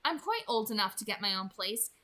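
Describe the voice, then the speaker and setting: A woman's voice: medium pitch, sing-song, a little fast, pushed and bright. A woman voicing a brave, stubborn teenage girl from a vampire novel in an earnest, insistent read-aloud. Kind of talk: expressive audiobook-style read-aloud.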